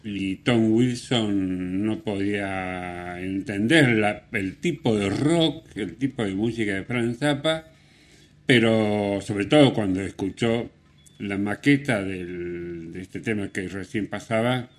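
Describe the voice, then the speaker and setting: A man hosting radio, telling music stories casually in a halting, rambling, conversational way. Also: lowish voice. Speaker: radio host.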